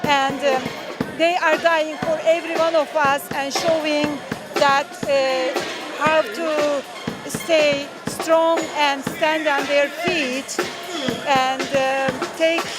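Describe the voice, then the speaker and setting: A woman from Turkey, speaking earnestly and haltingly at an outdoor street rally, pausing often with fillers. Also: high-pitched, flat delivery, loud music behind the voice, low-quality recording. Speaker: rally participant. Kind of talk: street interview.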